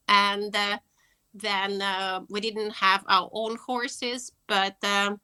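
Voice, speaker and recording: A woman's voice: mid-range voice, steady tone, drawn-out words, sharp tone, clear, resonant tone. A woman from Russia, a guest on an online interview, speaking hesitantly with many fillers. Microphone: video call.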